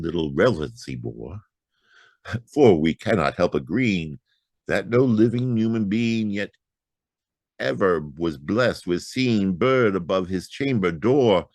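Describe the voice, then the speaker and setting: A man giving a theatrical poetry reading, swinging from loud outbursts to hushed whispers. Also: very deep voice, creaky, no echo, clean studio sound. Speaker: poetry reader.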